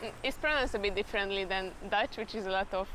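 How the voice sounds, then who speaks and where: A woman's voice: mid-range voice, fast talker, noisy background. A young woman with a Hungarian accent chatting in an interview, laughing often as she talks. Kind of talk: casual interview chat.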